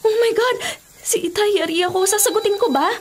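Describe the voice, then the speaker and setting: A woman's voice: very high voice, brisk. A young woman acting as a polite, anxious student in a Filipino radio drama. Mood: nervous. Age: young adult. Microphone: studio mic.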